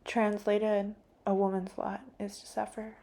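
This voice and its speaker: Woman artist, mellow dark tone, little pitch movement, hesitant delivery that trails into whispers. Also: completely flat delivery. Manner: soft, halting, many fillers, drops into whispers. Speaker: painter. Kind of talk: reflective monologue about her painting.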